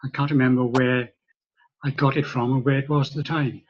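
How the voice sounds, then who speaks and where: Husky man over muffled call audio. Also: medium pitch, little pitch movement. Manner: measured, thinking aloud. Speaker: lecture participant, former newsletter editor. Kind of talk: casual discussion. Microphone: video call.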